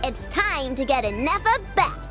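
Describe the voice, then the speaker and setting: A woman playing a cocky, energetic young girl in a video game, blunt and playful. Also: high-pitched, moderate pace, loud music behind the voice. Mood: accusing. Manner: blunt, exclamatory. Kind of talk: video game cutscene acting.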